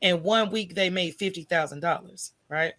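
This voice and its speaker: An American woman talking as a podcast guest in an animated, colloquial storytelling way, candid and often checking in with "right?". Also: fairly low pitch, moderate pace.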